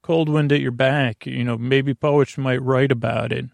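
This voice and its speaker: A man with a mid-pitched, creaky voice hosts a bedtime podcast. He rambles and mumbles through meandering digressions, often halting to restart a thought. The sound is very dry, with no room around the voice. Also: fairly even intonation, no echo. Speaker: podcast host and bedtime storyteller. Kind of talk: rambling sleep podcast monologue.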